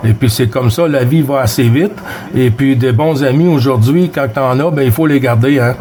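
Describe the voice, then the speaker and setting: A man's voice: medium pitch, normal speed, dark tone, slight background noise. Québécois man rambling on CB radio. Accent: Québécois French. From Quebec. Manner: rambling, many fillers and restarts. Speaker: CB radio operator. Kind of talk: casual radio chat.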